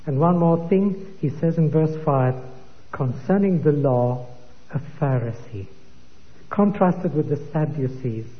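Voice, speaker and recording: Tinny man preaching in an echoing hall. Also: fairly high pitch. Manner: slow, emphatic Bible teaching with quoted scripture. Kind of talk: sermon. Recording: tinny phone-like sound in a long-reverb hall.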